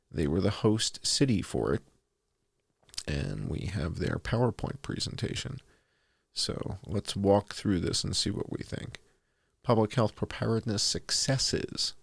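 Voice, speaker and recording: A man hosting a commentary, reading documents aloud in a deliberate, announcer-like way with dry, sardonic asides. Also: fairly low pitch, gravelly, no echo. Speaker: host presenting and reading documents.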